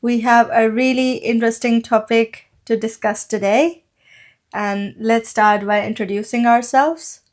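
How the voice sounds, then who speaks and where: A woman's voice: fairly high pitch, fairly even intonation, a little slow. A young adult woman with a Pakistani accent, a native Urdu speaker co-hosting a casual podcast and explaining at length with many "like" and "so" fillers. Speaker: podcast co-host.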